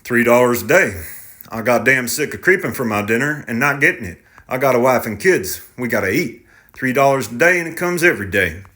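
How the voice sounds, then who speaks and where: A man's voice: mid-range voice, expressive, fairly quick, sharp tone. A man reading aloud, voicing a terse, defensive young tractor driver in clipped working-class speech. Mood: blunt.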